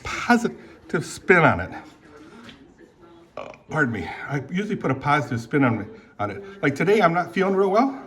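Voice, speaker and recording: Older guy in his 70s, from indonesia, rambles on with lots of ums and throat clearing. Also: medium pitch. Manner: rambling, halting, many fillers and throat clearing. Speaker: vlogger. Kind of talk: rambling casual monologue.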